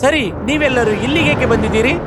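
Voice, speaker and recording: A man's voice: very high-pitched, quick pace, very noisy. A man voicing a cruel, scheming male lion in a cartoon, with a menacing, gloating delivery. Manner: menacing, gloating, with evil laughs. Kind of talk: cartoon voice acting. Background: background music.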